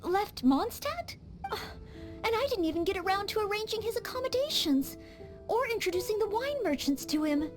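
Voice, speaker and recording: A woman's voice: extremely high pitch, big pitch swings, moderate pace, soft background music. A woman voicing a polite, earnest young maid knight in a game, courteous and eager to serve.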